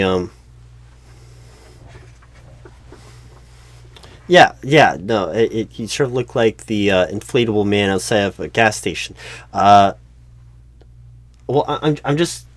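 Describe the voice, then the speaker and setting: A man's voice: medium pitch, no room sound, natural voice. A man playing a polite, oblivious recruiting manager who stammers hesitantly and tactfully through a comedic one-sided job interview roleplay. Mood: flustered, concerned.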